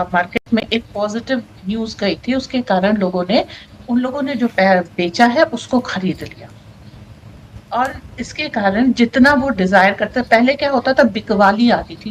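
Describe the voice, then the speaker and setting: A woman's voice: medium pitch, normal speed, poor audio. A woman talks through economic news off the cuff, with faint noise behind her. She stops to correct herself and mixes in English terms as she explains. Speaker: current affairs commentator.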